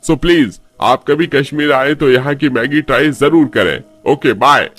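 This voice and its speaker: A man's voice: medium pitch, unhurried, a bit bright. A man playing a friendly young tourist blogger, presenting and recommending to viewers in a moral story. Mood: friendly, promotional.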